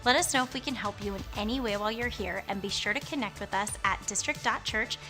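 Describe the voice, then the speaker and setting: A woman reading scripted church announcements in a smooth, upbeat, even way. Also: mid-range voice, fast talker, music in the background.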